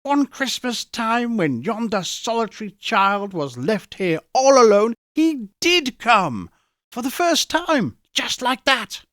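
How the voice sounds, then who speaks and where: A man voicing an excited old miser in a storybook reading, gushing and exclaiming with childlike ecstasy. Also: extremely high pitch, slow, no echo, studio quality, voice pushed up, full character voice. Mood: ecstatic, nostalgic.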